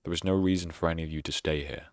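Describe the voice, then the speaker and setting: A man's voice: rumbling low, steady tone, very fast, no echo. A young man streamer closing out his stream with casual, rambling, self-mocking sarcastic chatter into a close microphone. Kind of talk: casual end-of-stream chat.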